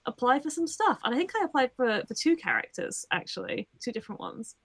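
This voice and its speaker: A woman's voice: high-pitched, very animated, quick pace, slightly rough. A woman voice actor chatting in a casual Q&A over a video call, laughing often and poking fun at herself. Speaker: voice actor, guest. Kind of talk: casual livestream Q&A chat.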